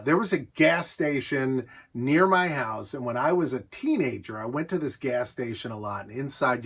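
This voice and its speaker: A man's voice: mid-range voice, a bit bright, breathy edge. A middle-aged man with an American accent giving a casual, nostalgic show-and-tell, rambling along conversationally and with enthusiasm. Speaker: presenter showing a collection of vintage gadgets.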